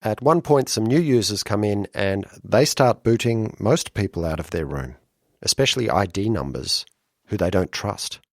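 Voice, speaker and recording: A man from Western Australia, hosting and narrating a podcast in a relaxed, wry way. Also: fairly low pitch, no room sound, clean studio sound. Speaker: podcast host.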